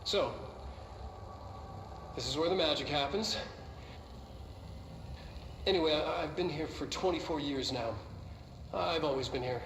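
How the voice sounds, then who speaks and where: A middle-aged male scientist hosting a game's orientation video. His higher-pitched voice is theatrical and halting, quirky and self-important. It sounds distant, in a reverberant hall with noise. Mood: proud, awkward. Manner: theatrical, halting, earnest presenter. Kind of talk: in-game orientation video presentation. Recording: echoey, noisy internal video, distant mic.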